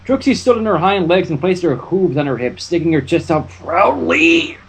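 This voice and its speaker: Fan-fiction narrator, slightly rough voice, expressive pitch swings, playful asides, storytelling. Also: moderate pace.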